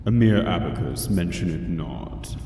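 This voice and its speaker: Pompous supercomputer played by a man: very low, dark and lofty, deliberate delivery for sci-fi radio comedy. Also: animated. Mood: lofty, disdainful. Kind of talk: amateur radio comedy drama. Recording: echoing hall, distant, faint noise.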